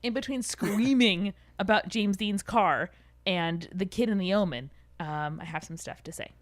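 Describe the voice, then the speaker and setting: A woman's voice: medium pitch, a little slow, no echo. Woman co-hosting a podcast, dry sound. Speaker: podcast co-host. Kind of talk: casual podcast chat.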